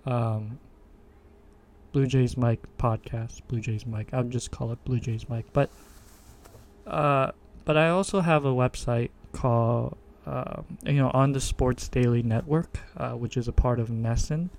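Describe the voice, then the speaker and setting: A man hosting his own podcast, introducing himself in a low monotone voice and stopping often with fillers and restarts. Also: a little slow, slight background noise. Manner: hesitant, many fillers and restarts. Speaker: podcast host. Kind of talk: casual self-introduction monologue. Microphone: desktop USB microphone.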